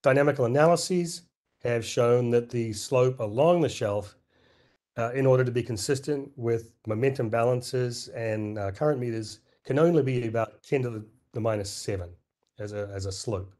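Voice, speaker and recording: A middle-aged man presenting at a scientific conference, hoarse and clearing his throat, with many fillers and restarts. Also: medium pitch, normal speed, warm tone.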